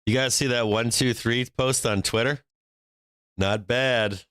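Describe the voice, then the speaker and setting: A man's voice: mid-range voice, steady tone, unhurried, fairly bright tone, smooth, no echo. A man from Boston hosting a live stock trading stream, brash and joking, laughing often between calls. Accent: faint Boston. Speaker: stock market livestream host.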